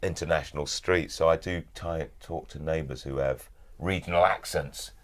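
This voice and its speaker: A British man talking as an interview guest, with measured, thoughtful and emphatic phrasing. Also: rumbling low, fairly even intonation. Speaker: guest, actor and audiobook narrator. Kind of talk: casual interview chat.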